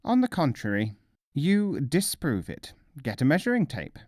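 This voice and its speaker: Man playing a wry, cocksure mullah, very animated. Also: a little high, no room sound, studio quality, pitched above the performer's own voice. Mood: smugly certain. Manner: assured, deliberate, teasing. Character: wry, cocksure male mullah, trickster folk sage. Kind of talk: storybook character voice.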